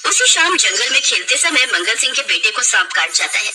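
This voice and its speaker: A woman's voice: a little high, big pitch swings, brisk, loud music behind the voice. A woman narrator reading a scripted dramatic story.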